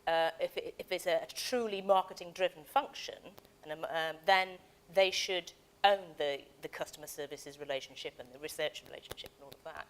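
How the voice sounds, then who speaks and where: Low-voiced woman thinking aloud. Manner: halting, many restarts, thinking aloud. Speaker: panelist. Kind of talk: panel discussion answer.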